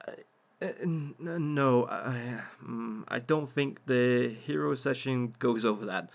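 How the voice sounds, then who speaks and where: A man's voice: medium pitch, a little mellow. A man voicing a suave villain teaching a seminar in a dry, sardonic, amused way. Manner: slow, dry and sardonic, with small amused laughs. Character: suave, wry male villain lecturing a seminar. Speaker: villain instructor. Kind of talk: audio roleplay monologue.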